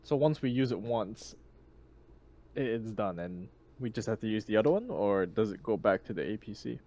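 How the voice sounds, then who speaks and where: A man's voice: fairly low pitch, lively intonation, moderate pace, a little mellow. A man playing a tabletop role-playing game, chatting casually and asking about the rules.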